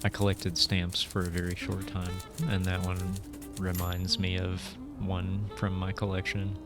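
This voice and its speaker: Slow, low-voiced man musing over games. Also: completely flat delivery. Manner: hesitant, musing, thinking aloud. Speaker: video game player and commentator. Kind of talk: casual gameplay commentary. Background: music.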